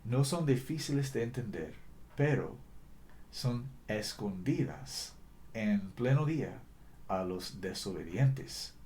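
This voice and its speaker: A man preaching a sermon in a language that is not his first, earnest and deliberate, often correcting himself mid-sentence. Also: on the low side, lively intonation, a little mellow, a little hiss or hum. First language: not Spanish.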